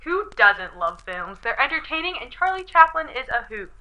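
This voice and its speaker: Woman as a high, animated 1920s radio host. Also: normal speed. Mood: playful. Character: enthusiastic 1920s radio host named Joe. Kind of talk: scripted 1920s radio show acting. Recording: faint noise and clicks.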